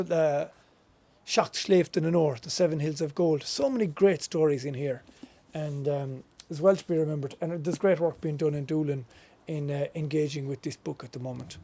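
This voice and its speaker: A man's voice: fairly high pitch, darkish tone, reverberant. A man with a west of Ireland accent guiding an informal literary tour as a storyteller. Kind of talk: informal guided-tour talk with readings aloud.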